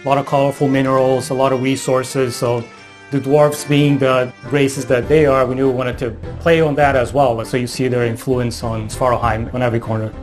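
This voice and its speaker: A man's voice: medium pitch, level tone, a little fast. Male art director, documentary interview. Level, mid-range voice over music.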